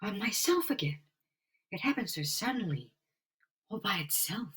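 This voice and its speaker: A man's voice: sits high, big pitch swings, normal speed, fairly bright tone, a little hiss or hum, pitched above the performer's own voice. A man voicing an anxious, devoted young heroine with pleading, melodramatic delivery in a comic-book reading. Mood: relieved.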